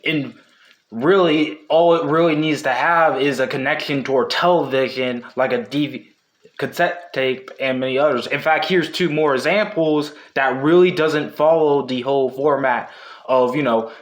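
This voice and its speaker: A man's voice: fairly high pitch, a little slow. A man hosting a casual, unscripted video essay, talking in a rambling way that often backtracks and corrects itself. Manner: rambling, self-correcting, hedging. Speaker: video host.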